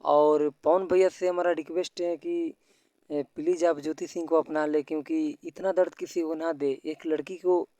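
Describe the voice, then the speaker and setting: A man's voice: on the high side, little pitch movement, moderate pace, warm tone, dry recording. A man speaking on an informal recorded phone call, explaining things politely and at length. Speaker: YouTube news creator.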